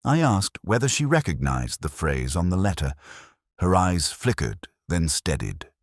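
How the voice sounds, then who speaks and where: Man as a very deep-voiced, weary detective narrator. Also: very animated, no room sound, studio quality, exaggerated voice. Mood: weary, pensive. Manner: measured, literary, brooding. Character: weary, pensive male detective inspector narrating his case. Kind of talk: scripted first-person mystery narration.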